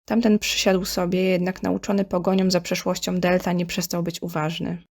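A woman's voice: on the low side, flat delivery, normal speed, darkish tone. A woman reading scripted story narration in Polish as the narrator of an audio drama.

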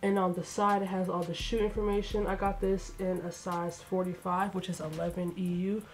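A woman's voice: fairly low pitch, flat delivery, normal speed. A young woman talking casually through a sneaker unboxing, a bit congested, in a slangy, chatty way. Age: young adult. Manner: laid-back, chatty, slangy. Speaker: sneaker vlogger. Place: bedroom with shoe shelves, indoors.

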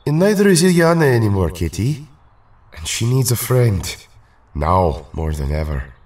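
A man acting a protective, patient but exasperated young Russian superhero in an audio drama, speaking haltingly and expressively. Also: fairly low pitch, very animated, a little mellow, far from the mic. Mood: gently reassuring.

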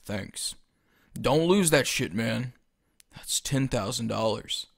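A man playing a rough but soft-hearted drug dealer in an audio drama, talking casually and swearing. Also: medium pitch, lively intonation, slow, no echo, clean studio sound, exaggerated voice. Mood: hurried, grateful. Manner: casual, foul-mouthed.

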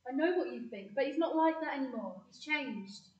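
A woman's voice: high voice, expressive, fairly quick, far from the mic. A woman with an English accent playing an anxious, fretful member of a family in a community audio play, worried and questioning. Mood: defensive. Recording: muffled echoey room, away from mic.

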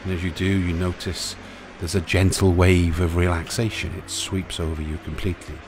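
A man narrating a guided sleep meditation in a soothing, gentle, unhurried way, leaving pauses between short phrases. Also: deep voice, level tone, background noise. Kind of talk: guided sleep meditation, hypnotic relaxation countdown. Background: music.

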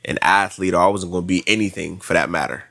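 A young American man in his late twenties speaks in a fairly low voice. He talks casually, like a guest telling stories in an interview, often repeating phrases for emphasis. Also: fairly even intonation, fast talker, creaky, fairly bright tone. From South Florida, United States. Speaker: podcast guest, track athlete.

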